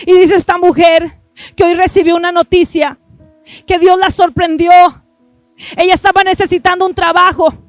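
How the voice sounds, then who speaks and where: A woman's voice: extremely high pitch, level tone, dry recording, faint music behind. A woman preaching an impassioned sermon and offering appeal, pressing her listeners and often breaking in with "listen to me". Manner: impassioned, repeats "listen to me", swings between rushed and drawn-out phrases.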